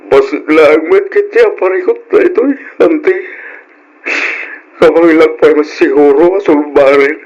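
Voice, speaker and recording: An actor with an Ilocano accent playing a troubled, apologetic young husband in an old radio drama. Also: male voice, on the high side, steady tone, normal speed, darkish tone, muffled recording, natural voice. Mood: weary, evasive.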